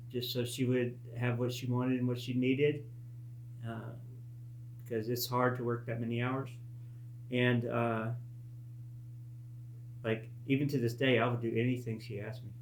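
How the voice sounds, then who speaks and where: A man in his late thirties telling a personal story casually, in a halting, reflective and earnest way. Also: mid-range voice. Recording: echoey room with faint hum.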